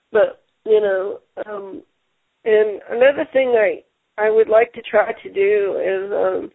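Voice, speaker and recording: A man hosting a casual radio show, talking haltingly and repeating words, with many fillers. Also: high-pitched, gravelly, dry recording. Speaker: show host. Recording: tinny phone-line sound.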